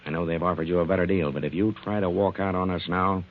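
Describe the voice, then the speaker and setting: An actor playing a gruff, curt, threatening gangster-like boss in a 1940s radio drama. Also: male voice, low-pitched, steady tone, quick pace, phone-quality sound, lightly acted. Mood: menacing. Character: gruff, menacing male boss who talks like a gangster. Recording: thin 1940s radio recording.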